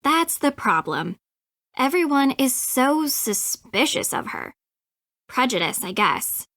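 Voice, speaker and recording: A woman's voice: high voice, sing-song, slow, fairly bright tone, dry recording, clean studio sound, exaggerated voice. An actress voicing a snippy, defensive young American woman in an adventure game, sarcastic, clipped and emphatic. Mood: worried, earnest. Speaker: video game character, voice actress. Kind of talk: acted dialogue in an adventure game.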